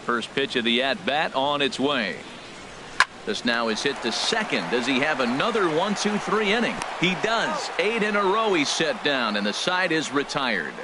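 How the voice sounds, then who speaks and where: Over clear stadium noise comes a man acting as a male baseball play-by-play announcer in a sports game. His pitch is mid-range and his delivery is composed and professional, in the manner of a broadcast commentary. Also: lively intonation, moderate pace, a loud crowd, lightly acted. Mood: excited.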